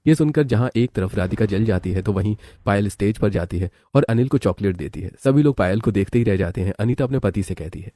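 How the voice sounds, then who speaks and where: Mellow, quick-talking male storyteller. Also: lowish voice, no room sound. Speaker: story narrator. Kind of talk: scripted storybook narration.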